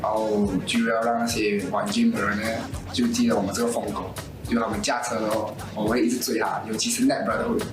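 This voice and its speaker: Man, slow thoughtful esports player, echoey room, loud music. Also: fairly low pitch, little pitch movement. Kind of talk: team interview.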